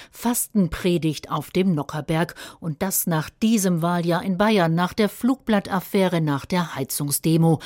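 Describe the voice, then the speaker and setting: A woman hosting a news magazine who presents scripted items in a measured, serious way. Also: on the low side, darkish tone, no room sound, studio quality.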